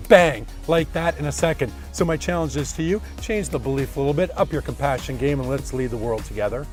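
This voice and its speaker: Guy vlogging, pumped up motivational talk, voice going hoarse, music under it. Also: high-pitched. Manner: energetic, motivational preaching. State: losing his voice. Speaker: vlog host. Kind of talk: casual vlog talk to camera.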